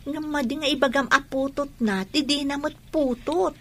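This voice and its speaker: A woman's voice: sits high, fairly even intonation, moderate pace, fairly bright tone. A woman playing a concerned, questioning young student friend in an Ilocano radio drama. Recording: music bed under dialogue.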